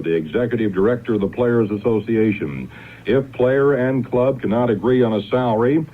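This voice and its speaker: A man reading network news and sports in a crisp, wry style. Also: mid-range voice. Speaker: network newscaster. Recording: tinny 1970s radio broadcast.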